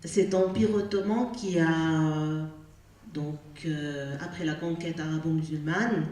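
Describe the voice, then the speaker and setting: Slow, hesitant woman in an echoey hall. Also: low-pitched, steady tone, darkish tone. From Syria. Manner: hesitant, searching for words. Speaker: audience member asking a question. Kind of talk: question from the floor. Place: cinema auditorium. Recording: echoey hall, distant microphone.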